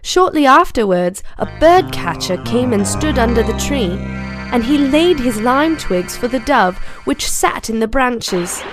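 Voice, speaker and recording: A woman's voice: on the high side, big pitch swings, unhurried, music in the background. A woman reading a scripted fable for children as a storyteller.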